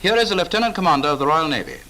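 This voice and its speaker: A British man narrates a wartime-era 1940s training film with clipped authority. His voice is bright and fairly high, swinging in pitch as he gives firm instruction. It has the sound of an old film soundtrack. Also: sing-song, rapid-fire.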